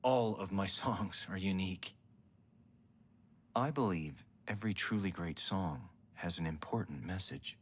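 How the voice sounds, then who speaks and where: Vain male barroom songwriter: low, husky, breathy, theatrical and wry, video game cutscene delivery. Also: unhurried. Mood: self-important. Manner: pompous, languid, theatrical. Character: vain, theatrical male bar musician, self-pitying and wry.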